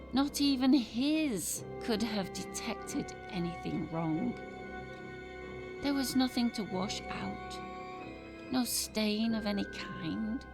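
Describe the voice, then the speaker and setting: Slow, creaky-voiced woman reading gothic horror. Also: mid-range voice. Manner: theatrical, deliberate, with drawn-out emphatic phrases. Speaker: amateur storyteller, reader. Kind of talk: dramatic literary narration of a gothic horror tale. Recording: music behind the voice. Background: music.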